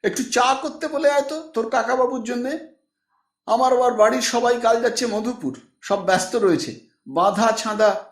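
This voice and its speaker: A chatty middle-aged man, voiced by a male narrator, speaks as a familiar friend in a storybook. His matter-of-fact talk is fairly high in pitch, with room echo. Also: normal speed, recorded from a distance. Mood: concerned. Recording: echoey room.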